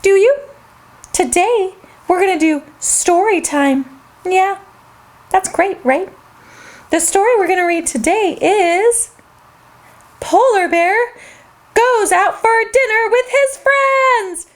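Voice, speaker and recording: A woman's voice: extremely high pitch, takes it slowly, long pauses. A woman hosting a children's show, reading a storybook aloud in a sing-song way and talking straight to the kids.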